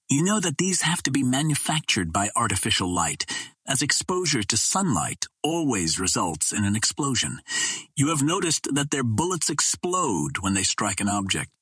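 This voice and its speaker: A man's voice: mid-range voice, a bit bright, slightly rough, dry recording, studio quality. A man voicing a proud, noble Martian princess in formal, stately words for an audiobook. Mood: confiding.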